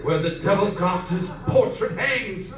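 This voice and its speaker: A man's voice: sits high, expressive, measured pace, over music. A man playing a solemn, echoey prophet of doom. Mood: foreboding. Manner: solemn, melodramatic. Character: grave, superstitious man pleading doom-laden warnings. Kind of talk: old-time radio drama acting. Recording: distant, echoey room with background noise.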